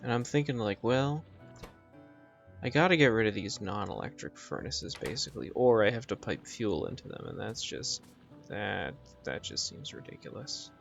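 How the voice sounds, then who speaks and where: Man, game streamer, gravelly fry, casual musing chat, dry humor, music behind. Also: fairly low pitch, big pitch swings, normal speed, fairly bright tone, dull audio. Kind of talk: casual live gaming chat.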